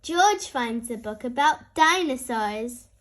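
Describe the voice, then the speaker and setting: A woman's voice: sits high, animated, takes it slowly, fairly bright tone. A woman storyteller reading aloud expressively to young children. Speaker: storyteller, host.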